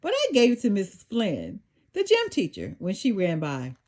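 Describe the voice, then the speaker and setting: Woman playing a keen, animated boy scout. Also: mid-range voice, normal speed. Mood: pleased. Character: keen young boy scout studying bugs.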